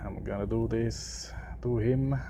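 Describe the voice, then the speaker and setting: A young man from Sweden with a Swedish accent, for whom English is a third language, giving casual gameplay commentary in a halting way, often searching for words. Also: fairly low pitch, steady tone, creaky, mellow, slight background noise. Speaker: gaming video creator.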